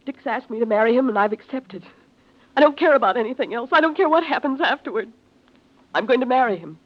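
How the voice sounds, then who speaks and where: A woman's voice: a little high, animated, quick pace. Young female, devoted and distraught bride-to-be, earnest vintage radio melodrama, dulled sound. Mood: anguished, defiant. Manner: earnest, dramatic, anguished. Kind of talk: old-time radio melodrama acting. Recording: muffled vintage radio recording.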